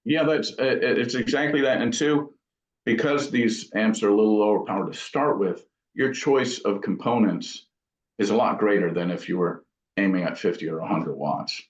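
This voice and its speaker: A man with a fairly low voice speaks in a casual interview as a craftsman who builds gear. He is thoughtful and halting, working through technical detail in an informal way. The sound is dull and lo-fi, with a strong room echo. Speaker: amp builder and company founder being interviewed.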